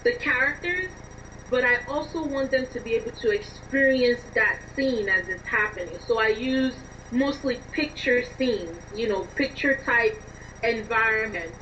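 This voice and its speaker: Lady guest answering interview qs, expressive, bit high pitched, muffled audio w faint noise. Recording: distant, dull, noisy remote connection.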